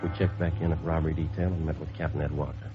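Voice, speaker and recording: Fast, low-voiced deadpan police detective. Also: male voice. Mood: matter-of-fact narration. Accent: American. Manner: terse, deadpan, matter-of-fact. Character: terse, businesslike male police detective sergeant narrating his case. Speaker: lead actor and narrator. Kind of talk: 1950s radio police drama acting, clipped scripted narration. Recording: muffled old radio recording, music behind.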